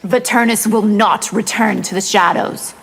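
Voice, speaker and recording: A woman playing a threatening, predatory female creature in a video game, with a creaky voice. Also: mid-range voice, fairly even intonation, a little slow, very noisy. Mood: menacing. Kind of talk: video game villain dialogue. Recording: hall reverb under loud music.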